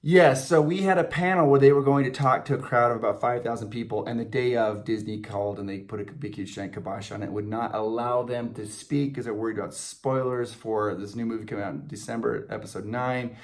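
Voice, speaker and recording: A middle-aged man with a mid-pitched voice talks casually as a vlog co-host. He is animated and joking, and often interrupts himself. The recording is made indoors on a camera a short distance away. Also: a little fast, hardly any pauses. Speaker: co-host of a theme park fan vlog. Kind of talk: casual chat, answering fan questions. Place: indoors, small room in front of a backdrop.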